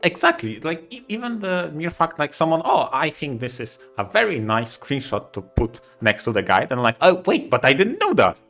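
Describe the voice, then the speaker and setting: Bright-voiced man, podcaster. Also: fairly high pitch, big pitch swings, normal speed, few pauses, faint music behind. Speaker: podcast co-host. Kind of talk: casual podcast discussion of video games.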